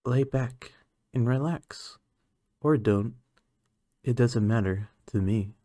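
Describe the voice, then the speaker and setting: A man playing an aloof, condescending alien scientist in an ASMR roleplay, speaking in a clinical, measured, haughty manner. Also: medium pitch, mellow, no echo, clean studio sound, natural voice. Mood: clinical, musing. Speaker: voice actor.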